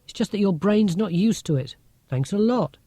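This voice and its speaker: A man's voice: sits high, expressive, normal speed, mellow, dry recording, pitched above the performer's own voice. A man voicing a clever, bossy, blunt schoolgirl in an audiobook for children. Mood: blunt, superior.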